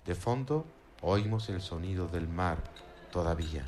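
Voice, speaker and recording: Low-voiced guy on the radio, calm and measured, talking through a record. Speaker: radio music presenter. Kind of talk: radio commentary translating song lyrics.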